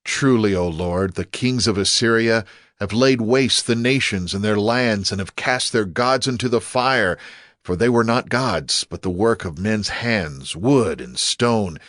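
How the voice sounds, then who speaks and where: Man as a low, devout praying king. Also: a little slow, fairly bright tone, no room sound, clean studio sound. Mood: solemn pleading. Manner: solemn, imploring. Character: devout, humbly pleading king of Judah at prayer. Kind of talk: dramatized scripture reading.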